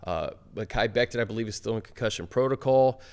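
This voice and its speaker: A man's voice: lowish voice, quick pace, darkish tone, smooth. A man with an American accent hosting a sports podcast and discussing football in a casual way.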